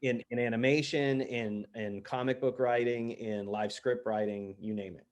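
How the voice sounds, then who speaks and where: Male podcast host, average pitch, informal interviewing style with tongue-in-cheek teasing. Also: fairly even intonation, moderate pace. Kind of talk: casual, jokey interview chat.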